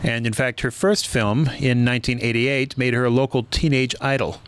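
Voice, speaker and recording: Wry, matter-of-fact podcast co-host. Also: male voice, mid-range voice, steady tone, moderate pace, slight background noise. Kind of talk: casual podcast chat, sharing bio facts.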